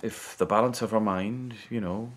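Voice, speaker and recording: An actor as a polite, hesitant police sergeant. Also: male voice, on the low side, steady tone, moderate pace, lightly acted. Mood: tentative, polite. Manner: tentative, polite. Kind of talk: radio drama acting.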